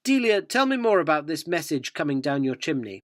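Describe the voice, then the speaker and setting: A man's voice: a little high, big pitch swings, fairly quick, dry recording, clean studio sound. An actor playing a cynical, self-important male newspaper reporter, narrating a radio drama in a wry, wordy, sardonic way. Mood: sardonic, dry.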